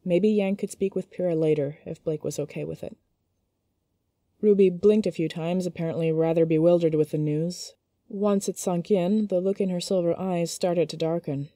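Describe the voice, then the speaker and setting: A woman narrator reading a scripted story in an expressive, measured storytelling manner. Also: on the low side, no echo, clean studio sound.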